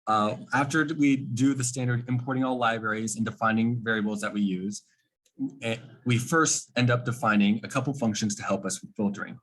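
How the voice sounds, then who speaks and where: Slightly husky man explaining something technical. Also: fairly low pitch, low-quality recording. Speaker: student project presenter.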